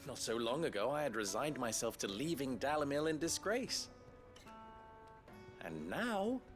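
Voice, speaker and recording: An actor playing an upright, wry town leader in a fantasy game, speaking in formal, eloquent dialogue. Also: male voice, mid-range voice, big pitch swings, a little slow, soft background music. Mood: wry, reflective. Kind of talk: dramatic video game dialogue.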